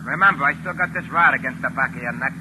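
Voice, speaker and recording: A man's voice: medium pitch, fast talker, close to an everyday voice. An actor playing a menacing American gangster henchman in a 1940s radio thriller, talking slangy, bossy and threatening. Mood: gruff, bossy. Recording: noisy vintage radio broadcast recording.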